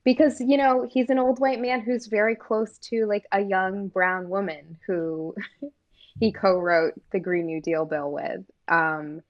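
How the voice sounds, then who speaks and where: A woman's voice: mid-range voice, fairly even intonation, unhurried, dull audio. A woman from Maine co-hosting a casual political talk, chatty and full of fillers. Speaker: co-host.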